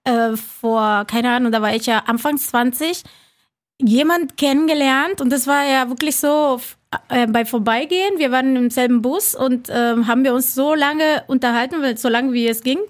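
A woman's voice: high voice, normal speed. A woman in her forties chatting casually and candidly as a podcast guest, often repeating words as she searches for phrasing.

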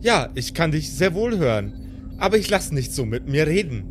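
A man's voice: fairly high pitch, very animated, moderate pace, slight background noise. A man voicing a touchy, sulking spaceship computer in an improvised audio play, with formal, snippy politeness. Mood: offended.

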